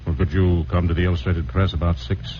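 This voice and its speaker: An actor playing an assured, courteous American newspaper editor in a 1940s radio drama, businesslike and polite. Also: male voice, sits low, little pitch movement, fast talker, dark tone, close to an everyday voice. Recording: muffled, faintly noisy old radio recording.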